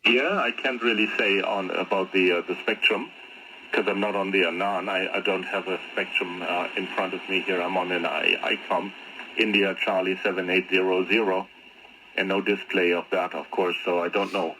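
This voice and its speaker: A man's voice: sits low, fairly even intonation, normal speed, sharp tone. A man chatting conversationally as an amateur radio operator, heard over a shortwave radio transmission. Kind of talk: casual ham radio exchange. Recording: noisy HF radio reception. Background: radio static noise.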